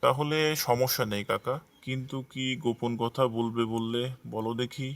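A man's voice: medium pitch, flat delivery, normal speed, close to an everyday voice. A man playing a respectful, eager young village farmer in a cartoon fairy tale, with an earnest manner. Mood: puzzled, earnest. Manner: earnest, deferential. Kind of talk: animated fairy-tale dialogue.

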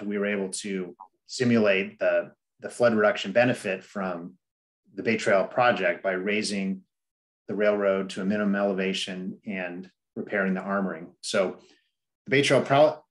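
A middle-aged man presenting a planning study to a public meeting over a video call, measured and explanatory, with frequent "um" and "you know". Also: fairly low pitch. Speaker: district staff presenter.

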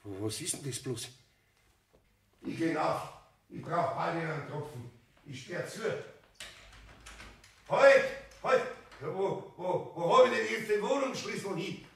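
A man's voice: a little high, lively intonation, unhurried, creaky, pauses often. A man acting a flustered, exasperated master woodturner in a German radio play, with a Bavarian accent, stammering and repeating himself. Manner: stammering, repeating words in agitation.

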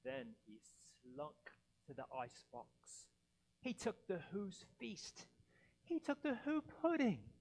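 A man in his forties reading a children's story theatrically, with dramatic, deliberate pauses between phrases. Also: sits high, huge pitch swings, gravelly, darkish tone. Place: indoors in a church by a Christmas tree. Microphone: headset mic.

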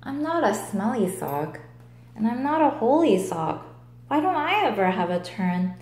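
A woman's voice: a little high, very animated, unhurried, darkish tone, roomy sound. A woman voicing a timid, wistful, eager male sock from a children's storybook, hesitant and plaintive.

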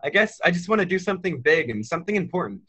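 Teen boy as young male dreamer, American, rather high pitch, forceful bright tone, eager, earnest, radio play acting. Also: very animated, rapid-fire, husky, exaggerated voice. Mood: frustrated, restless. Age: teens. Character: restless, dreamy, eager small-town young man. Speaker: high school drama student.

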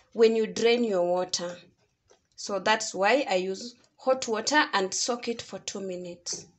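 A Kenyan woman giving step-by-step cooking instructions in an explanatory tutorial style. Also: fairly high pitch. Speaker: home cook, video host.

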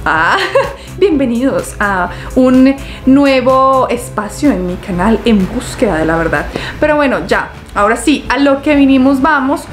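A young Colombian woman with a Santander accent, hosting a casual reaction video from her living room and talking in a chatty, animated way with frequent laughs. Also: sits high, unhurried, faint music behind. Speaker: YouTube reactor. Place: living room, indoors, on a sofa.

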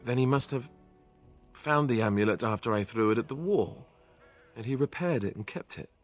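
An actor playing an earnest young warrior in a video game, soft-spoken and hesitant. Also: male voice, mid-range voice, animated, moderate pace, faint music behind, natural voice. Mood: moved, bewildered. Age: young adult. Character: earnest young armored warrior, quietly moved. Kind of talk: video game dramatic acting.

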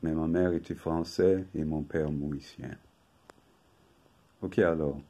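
An older man, native English speaker from England, reading a prepared talk from paper in a slow, measured way at home. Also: extremely low pitch, steady tone, mellow. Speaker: video host. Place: home room with bookshelf.

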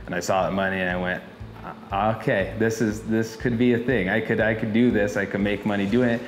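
A young man in his twenties, a welding shop owner, talking in a relaxed, easygoing documentary interview. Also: lowish voice, brisk. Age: 20s. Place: indoor fabrication shop office. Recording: roomy shop echo, music under.

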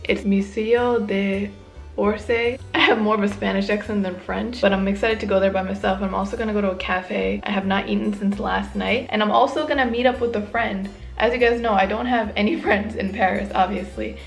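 A woman's voice: mid-range voice, fairly even intonation, brisk, vocal fry, soft background music. A woman, chatting informally to camera as a vlogger. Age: 20s. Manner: chatty, informal, youthful phrasing. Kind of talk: casual vlog chat to camera.

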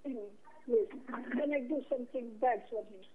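A distressed, tearful woman calling in, speaking haltingly in broken English and repeating her words. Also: on the high side. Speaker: caller. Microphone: phone line. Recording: tinny phone line.

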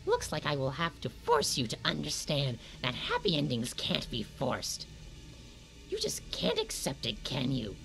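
A woman's voice: extremely low pitch, wildly swooping pitch, measured pace, vocal fry, a bit bright, full character voice, rough recording. A woman voicing a bitter, soulless talking flower in a comic dub, scornful and dramatic, swinging from sullen to menacing.

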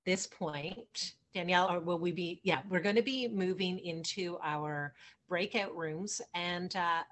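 A woman's voice: lowish voice, unhurried, fairly bright tone. A woman in her thirties co-hosting an online discussion from a room at home, over a video call with some faint noise. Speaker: panel co-host. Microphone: video call microphone.

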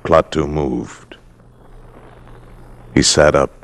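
A man's voice: extremely low pitch, steady tone, drawn-out words, gravelly. A man narrating a 1950s radio drama in a dramatic, scripted style. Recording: very noisy old radio broadcast.

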